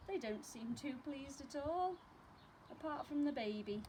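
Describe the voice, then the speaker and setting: Female storytime teacher: high, unhurried, expressive read-aloud for young children, noisy background. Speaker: primary school teacher reading stories.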